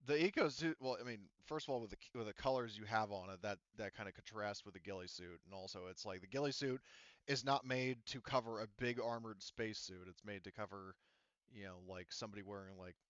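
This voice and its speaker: Low-voiced man running a tabletop game. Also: a little fast, a bit bright, clean tone, no room sound, lo-fi sound. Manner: rambling, many fillers and restarts. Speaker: game master.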